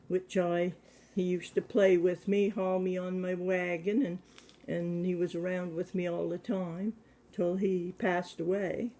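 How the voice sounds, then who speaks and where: A man in his seventies, answering questions about his childhood in a plain, hesitant way with many pauses. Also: high voice, mellow. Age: 70s. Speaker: interviewee. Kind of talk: casual recollection.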